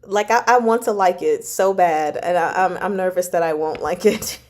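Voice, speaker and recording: A woman chatting casually as a livestream host, informal and expressive, drawing out words for emphasis as she answers viewers. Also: fairly low pitch, normal speed. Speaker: host of a book club livestream. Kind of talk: casual chat, reading and answering viewer comments.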